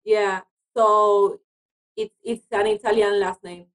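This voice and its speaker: A woman's voice: medium pitch, little pitch movement, takes it slowly, poor audio. A woman from Argentina, a native Spanish speaker with an Argentine accent, chatting casually as an interview guest. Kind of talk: casual chat.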